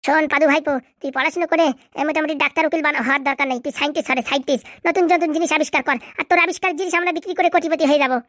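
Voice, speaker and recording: Woman as doting cartoon village uncle, high-pitched, rapid, fussy, folksy, simple-minded. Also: steady tone, fairly bright tone, no room sound, clean studio sound. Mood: dreamy, excited. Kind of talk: comedy cartoon dialogue.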